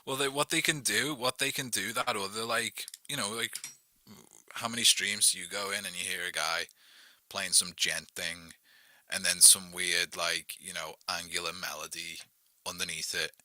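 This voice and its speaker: A man's voice: deep voice, fairly even intonation, moderate pace, pushed and bright, no echo. A young man with a Liverpool accent, a songwriter guest talking loosely and casually, full of "like" and "you know what I mean". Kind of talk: casual chat.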